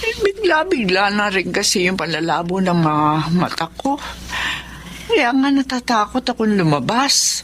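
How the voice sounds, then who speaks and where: A woman's voice: mid-range voice, very animated, a little slow, a bit bright, a little hiss or hum. A woman with a Filipino accent acting an ailing, long-suffering mother in a Tagalog radio drama. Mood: fearful.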